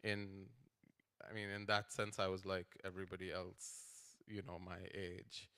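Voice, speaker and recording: A young adult man, a writer and translator, speaking haltingly and searching for words in a casual conversation. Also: low-pitched, little pitch movement, bright, forceful tone, clean tone.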